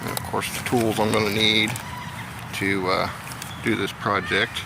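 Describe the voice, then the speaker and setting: An American man from Indiana giving a casual, plain-spoken, unhurried how-to demonstration as a hobby vlogger, pausing as he works. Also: on the low side, little pitch movement, gravelly, noisy background. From Indiana, United States. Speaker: amateur radio hobby vlogger. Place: outdoors.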